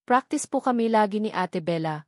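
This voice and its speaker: A woman's voice: mid-range voice, animated, moderate pace, no echo, studio quality, natural voice. A woman voicing a sweet, caring little girl of about six in a narrated story, polite and eager. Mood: cheerful.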